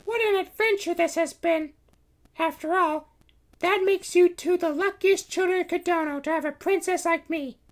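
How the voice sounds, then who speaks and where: A man doing a sweet falsetto cat princess. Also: normal speed. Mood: wistful. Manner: sweet, wistful, high falsetto. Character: gentle magical cat princess, young woman.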